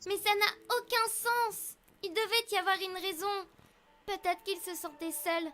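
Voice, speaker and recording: A woman's voice: extremely high pitch, fairly even intonation, a little slow, bright, forceful tone, exaggerated voice. A woman voicing a young schoolgirl pony in a cartoon fandub, an earnest and curious daughter. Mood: earnest, wondering. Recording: studio dub with faint music.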